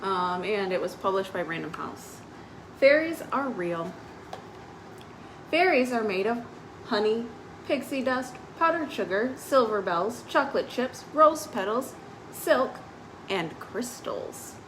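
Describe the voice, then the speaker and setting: A woman's voice: mid-range voice, animated, takes it slowly, rough recording. Woman reading storytime, faint background noise. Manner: simple, explanatory, addressing young children. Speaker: librarian hosting a children's storytime. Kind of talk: picture-book read-aloud with informal asides. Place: live online stream.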